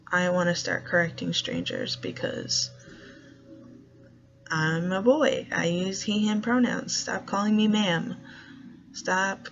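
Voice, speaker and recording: Young man with a soft feminine-sounding gravelly voice, slow. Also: high-pitched, dull audio. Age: young adult. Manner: reflective, hesitant, searching for words. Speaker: college student and grocery worker. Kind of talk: personal documentary monologue.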